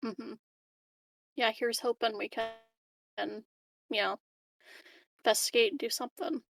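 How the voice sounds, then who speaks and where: A woman's voice: on the high side, fairly even intonation, measured pace, a bit bright, no room sound. A woman chatting casually as a player in a tabletop roleplaying game, swearing freely and poking fun at herself. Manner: self-deprecating, swears freely. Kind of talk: casual in-game chat, often describing her character's words.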